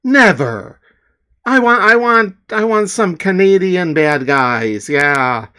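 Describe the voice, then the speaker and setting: A man's voice: high voice, very animated, slow pace, sharp tone, smooth. A man casually introducing his own comic book before reading it aloud, joking with many fillers. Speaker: comics author and reader.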